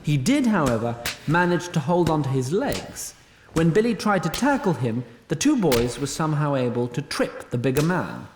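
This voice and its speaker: Animated male storyteller with wry delivery. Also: fairly high pitch, normal speed, reverberant, a little hiss or hum. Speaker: narrator. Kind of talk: scripted storytelling narration.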